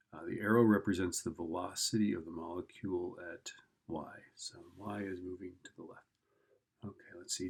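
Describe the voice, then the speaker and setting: Low, gravelly man teaching informally. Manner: thinks aloud, often checks with "right?". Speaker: physics teacher. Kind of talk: informal lesson, working through an exam problem.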